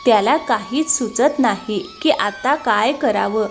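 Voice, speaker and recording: Woman narrator, high voice, scripted moral storytelling, music behind. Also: takes it slowly.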